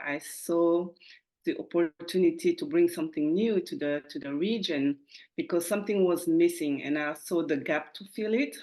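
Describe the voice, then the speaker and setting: Lower-voiced woman with a Francophone West African accent. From Guinea, West Africa. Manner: enthusiastic, often chuckles while talking. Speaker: podcast guest, entrepreneur. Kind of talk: conversational interview answers.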